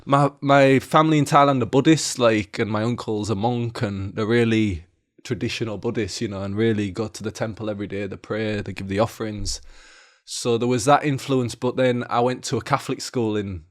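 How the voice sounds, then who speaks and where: A man's voice: lowish voice, fairly even intonation, a little fast. A man, a podcast guest telling his life story in loose, candid, casual talk. He swears freely and fills his speech with "you know" and "like". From England.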